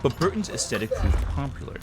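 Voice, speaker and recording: A man's voice: medium pitch, very animated, fast, over music. A man reading scripted video essay narration in an emphatic, explanatory way.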